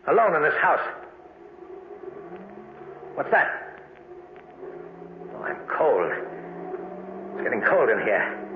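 A man's voice: medium pitch, roomy sound, narrow, tinny audio, a little hiss or hum. A man acting in a 1940s radio horror play as a melodramatic, taunting knife duelist, on an old radio recording. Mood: terrified. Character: menacing knife-wielding duelist, turning terrified alone in the dark.

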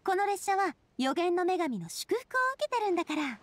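A woman's voice: extremely high pitch, expressive, a little fast, no echo. A woman voicing a confident, plucky young woman adventurer in an anime-style game, assured and persuasive. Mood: confident, insistent.

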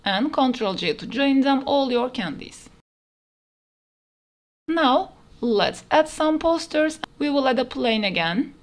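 Higher-pitched woman narrating software steps. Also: expressive, slow pace. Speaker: 3D modeling tutor. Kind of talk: step-by-step software tutorial narration. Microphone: voice-over over screen recording.

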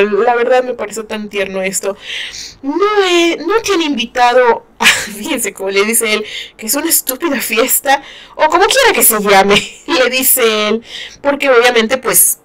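A woman with a northern Mexican accent chatting casually about a novel she is reading, giggling and sighing as she comments. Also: fairly high pitch. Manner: giggly and expressive, sighing often. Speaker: book reaction host.

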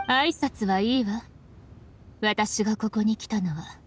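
A woman's voice: mid-range voice, slow pace, a little hiss or hum, natural voice. A woman playing an aloof young female watchkeeper in an anime game, cool, curt and unimpressed. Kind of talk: Japanese anime game acting.

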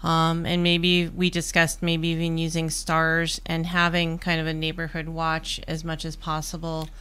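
A woman in her forties hosting a radio interview in a small studio, encouraging her guest with quick affirmations. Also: low-pitched, completely flat delivery, pushed and bright, smooth. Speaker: radio show host. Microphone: studio microphone on boom arm, headphones.

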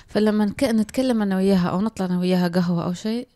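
A woman in her thirties with a Libyan Arabic accent, a podcast guest who explains her points thoughtfully and pauses often. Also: medium pitch, dark tone, no echo, studio quality. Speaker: guest, applied linguistics lecturer. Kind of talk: casual conversation. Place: small home room. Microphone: handheld mic.

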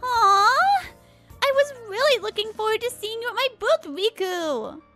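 Very high, clingy anime girl. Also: animated, measured pace, bright, forceful tone, faint music behind, full character voice. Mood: pouty. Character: clingy, needy teenage pop idol girl, cute and pouty. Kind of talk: cute anime-style voice acting.

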